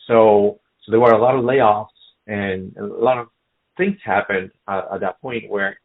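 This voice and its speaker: A middle-aged man from Colombia, a business guest in a casual interview, speaking haltingly with many fillers and restarts. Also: lowish voice, fairly even intonation. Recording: phone-like narrow sound.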